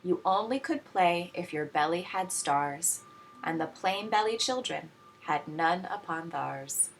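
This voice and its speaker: A woman reading a children's storybook aloud like a teacher, with expressive, dramatic phrasing. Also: fairly low pitch, unhurried, slight background noise, rough recording.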